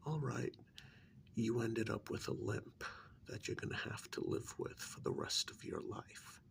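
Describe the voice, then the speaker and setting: A man playing a cold, condescending secret-agency superior in a soft-spoken ASMR role-play, measured and patronizing, with a breathy, gravelly half-whisper and deliberate pauses. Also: mid-range voice, background noise. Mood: measured, insistent.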